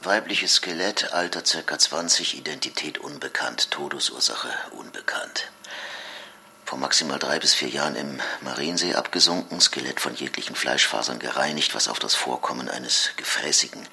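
An actor playing a brusque, controlling chief inspector in a radio drama, clipped and clinical in manner. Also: male voice, very deep voice, normal speed, creaky, pushed and bright, full character voice. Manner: clipped, official. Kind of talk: radio drama, dictated report and dialogue.

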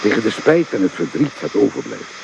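A man's voice: fairly low pitch, very animated, a little fast, gravelly, noisy background, heavily acted. An actor playing an earnest, protective middle-aged father in a radio drama, speaking in a solemn, paternal way. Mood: earnest, urging.

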